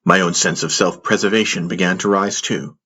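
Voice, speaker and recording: A man narrating a fantasy audiobook in the first person, with solemn, dramatic storytelling and a husky voice. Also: sits low, fairly even intonation, brisk, bright, forceful tone.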